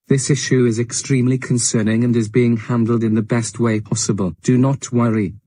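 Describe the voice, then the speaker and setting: Government official, played by a man: dark soft-edged tone, polite formal speech, reassuring. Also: mid-range voice, no room sound, close to an everyday voice, rough recording. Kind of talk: scripted televised-style public address.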